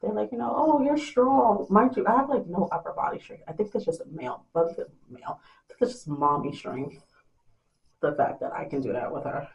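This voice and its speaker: A young woman vlogger in a small room at home, talking softly and rambling to the camera at night, sometimes losing her train of thought. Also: fairly quick, gravelly, dull audio. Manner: soft and low-voiced at night. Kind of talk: casual late-night chat to camera.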